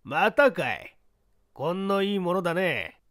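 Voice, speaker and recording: A man's voice: high-pitched, very animated, unhurried, a bit bright, no echo, studio quality, pitched above the performer's own voice, exaggerated voice. A man voicing a languid, unworried company president with an offhand, amused manner in an audiobook.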